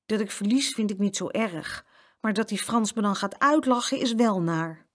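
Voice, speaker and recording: A woman's voice: mid-range voice, normal speed, pitched above the performer's own voice, lightly acted. A woman voicing a small, stubborn young boy with a headstrong, plucky manner in a children's storybook. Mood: glum, regretful. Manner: tough-talking, headstrong. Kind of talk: children's storybook character reading.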